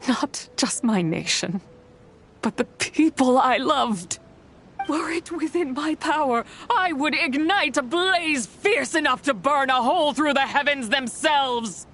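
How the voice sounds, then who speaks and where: A woman's voice: high-pitched, expressive, a little slow, a bit bright, a little hiss or hum, full character voice. An actress voicing a proud, wrathful and sorrowful female spirit in a video game, speaking in solemn, grand, archaic phrases. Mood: anguished, burning. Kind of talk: dramatic scripted video game voice acting. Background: music.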